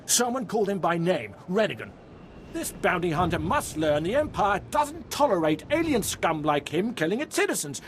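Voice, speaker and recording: An actor playing an outraged, self-righteous citizen of an evil empire in a video game, indignant and declamatory, with some noise behind. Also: male voice, high voice, very animated, moderate pace. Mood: indignant accusation. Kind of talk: dramatic video game acting.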